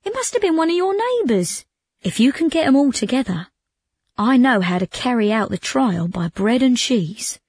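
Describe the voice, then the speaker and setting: A woman's voice: on the high side, sing-song, moderate pace, darkish tone, breathy edge, no room sound, studio quality, pitched above the performer's own voice, full character voice. A woman voicing an eager male accomplice in a storybook, agreeable and conniving. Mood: helpful, conniving.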